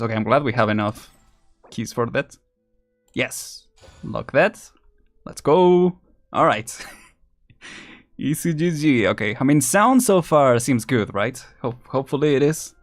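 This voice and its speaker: A man chatting casually and rambling as he thinks out loud on a live game stream. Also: fairly high pitch.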